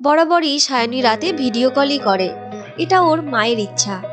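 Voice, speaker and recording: A woman with a very high voice narrates a cartoon story. Her delivery is scripted storytelling, and music plays clearly behind her. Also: expressive, a little slow.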